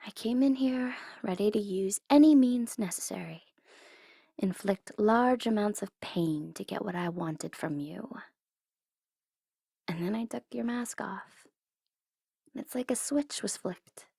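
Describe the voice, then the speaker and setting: A woman's voice: medium pitch, a little slow, gravelly, long pauses, warm tone, slightly rough, dry recording, studio quality. A woman playing a smug, secretly tender female supervillain mastermind in an intimate, theatrical ASMR roleplay, teasing and easily flustered. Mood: tender, confessing.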